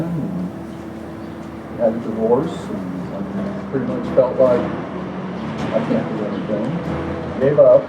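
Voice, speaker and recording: A middle-aged man tells his own story bluntly and matter-of-factly. He is picked up from a distance in an echoey room, with loud noise behind him. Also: medium pitch. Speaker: storyslam speaker. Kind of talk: personal story. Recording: echoey, far from the mic, noisy.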